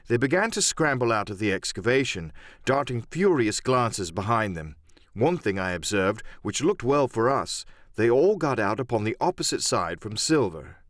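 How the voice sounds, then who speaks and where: A man's voice: mid-range voice, sing-song, normal speed, fairly bright tone, dry recording, clean studio sound. A man narrating an adventure novel in a dramatic first-person storytelling style. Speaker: audiobook narrator and voice actor.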